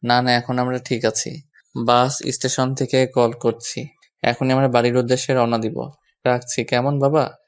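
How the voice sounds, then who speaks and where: A man's voice: medium pitch, level tone, normal speed, close to an everyday voice. A young man playing an exasperated, bossy yet fond husband in a romantic audio story, with a scolding, sarcastic manner. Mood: apologetic, reassuring.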